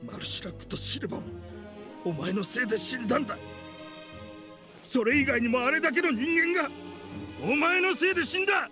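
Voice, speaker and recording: An actor playing a bitter, vengeful middle-aged man in an anime game, speaking with rough, contemptuous, theatrical accusation. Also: very high-pitched, animated, brisk, long pauses, over music. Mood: anguished accusation. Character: bitter, vengeful man in a grudge-driven confrontation.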